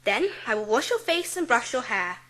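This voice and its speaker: A woman's voice: on the high side, big pitch swings, moderate pace, fairly bright tone. A woman playing a kind, polite, earnest young schoolgirl in a dramatised children's audiobook. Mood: tender, dreamy. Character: kind, composed, imaginative seven-year-old schoolgirl.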